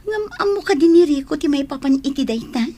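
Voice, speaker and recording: A woman acting a caring, nosy young best friend in an Ilocano radio drama, expressive and probing, with an Ilocano Filipino accent. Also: sits high, brisk. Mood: worried, urging.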